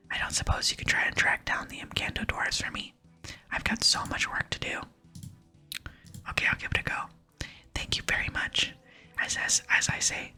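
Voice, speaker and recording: A woman whispering in ASMR style, softly and slowly reading video game dialogue aloud. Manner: soft, slow, hushed reading. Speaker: ASMR gaming channel host.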